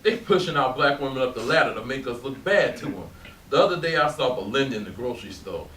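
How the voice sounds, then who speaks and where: Echoey, animated, blunt man acted on stage. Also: medium pitch, fast, natural voice. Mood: indignant. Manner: animated, bantering, profane. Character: blunt, teasing, coarse-talking working man, loyal drinking buddy. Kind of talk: stage readers theatre acting. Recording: echoey room, distant mic, faint noise.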